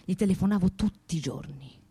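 A woman's voice: on the low side, a little fast, dark tone, husky. A woman playing a bitter, scornful widow in a radio play, with a Tuscan accent and a blunt, sarcastic, insulting way of talking. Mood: scornful, indignant. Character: bitter, scornful widow railing at a family friend. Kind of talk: naturalistic radio theatre acting.

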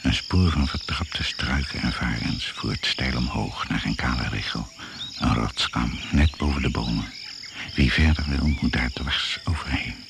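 A man's voice: rumbling low, a little slow, creaky, full character voice. A man narrating a suspenseful jungle adventure radio play in terse, dramatic phrases. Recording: very noisy radio play recording, insect chirps. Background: crickets and jungle insects.

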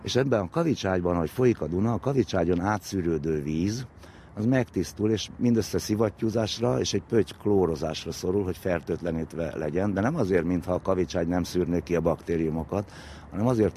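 A man's voice: sits low, warm tone. A man speaking as an expert, explaining things informally with vivid comparisons. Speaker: expert interviewee. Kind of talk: spoken explanation, informal lecture-like. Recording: faint background noise and ambience.

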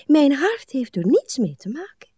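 Radio drama: fussy pious spinster, high voice, swooping intonation, martyred and often hushed. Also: female voice, huge pitch swings, normal speed, no echo. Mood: hushed, self-justifying. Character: prim, pious, put-upon spinster. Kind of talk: radio play acting.

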